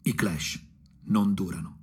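Husky low-ish guy telling a story on radio, ironic, theatrical, choppy phrases. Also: fairly even intonation, takes it slowly. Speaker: storyteller, actor. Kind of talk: dramatic first-person radio monologue.

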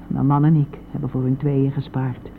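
A woman's voice: rumbling low, flat delivery, normal speed, creaky. An elderly mother in a radio drama, stubborn and aggrieved, pressing her points insistently and sometimes sinking toward a whisper. The sound is narrow and phone-like with a faint layer of noise. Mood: accusing, indignant. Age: older. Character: anguished, stubborn elderly mother of a grown son. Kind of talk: radio play acting. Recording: noisy phone-like old radio play recording.

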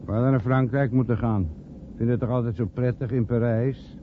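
A man's voice: medium pitch, fairly even intonation, brisk, close to an everyday voice. Casting: actor as polite male chauffeur, plain mid-range voice, matter-of-fact, narrow old-radio sound. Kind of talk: naturalistic radio drama dialogue. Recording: thin, noisy old radio play recording.

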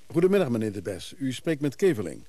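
A hissy old radio drama recording of a wry, smooth schemer with a soft, mellow voice. He talks like a careful hustler, courteous and a little hesitant. His pitch sits in the middle for a man. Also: very animated, quick pace. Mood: polite, hesitant. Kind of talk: Dutch radio play acting.

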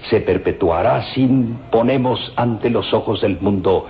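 An actor playing an eager, covetous professor in an old radio serial, insistent and weighty. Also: male voice, lowish voice, measured pace, a little hiss or hum. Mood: fervent persuasion. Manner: dramatic, insistent, weighty delivery. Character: eager, covetous male professor obsessed with ancient tombs. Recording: dull old radio recording, faint music. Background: faint dramatic music.

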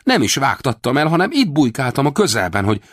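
A man voicing a fawning, two-faced male henchman who gushes in a high, theatrical voice. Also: sing-song, dry recording, clean studio sound. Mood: gushing.

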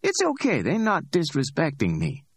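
A man's voice: a little high, huge pitch swings, slightly rough, dry recording, voice pushed up, exaggerated voice. A man voicing a cocky middle-aged hustler who teases and drawls his words. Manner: teasing, drawn-out, challenging.

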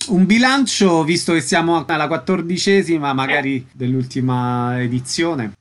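A man's voice: a little high, normal speed. A man hosting a radio interview, putting scripted questions and introducing guests.

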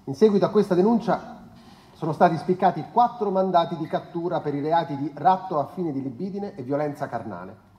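A man playing a formal narrator who reads a police report in measured documentary style. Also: fairly high pitch, expressive, mellow, lightly acted. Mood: formal, grave. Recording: echoey room.

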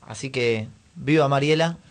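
A man's voice: mid-range voice, normal speed. An Argentine man hosting a radio show, talking politics in an informal, conversational way. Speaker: radio host. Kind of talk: casual chat, political commentary.

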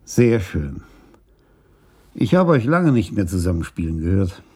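An actor playing a senior police chief in a German radio crime drama, husky, wry and scornful as he reflects. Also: low voice, big pitch swings, a little slow, a little mellow, heavily acted. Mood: pleased.

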